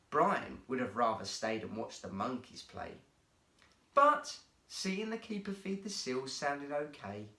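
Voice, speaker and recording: Animated male storyteller, unhurried pace. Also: a little high, reverberant. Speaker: guest storyteller, actor. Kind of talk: expressive children's story narration.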